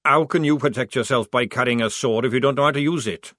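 A man voicing a gruff male army sergeant who barks orders, in a storybook reading. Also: mid-range voice, lively intonation, normal speed, fairly bright tone, no echo, studio quality, natural voice. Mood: commanding. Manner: barking orders.

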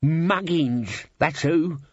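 A man's voice: fairly high pitch, very slow, no room sound, voice pushed up. Old male manservant, acted by a man reading an audiobook: indignant, muttering, colloquial, exasperated. Lo-fi, dry sound. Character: grumpy old manservant, indignant and put-upon.

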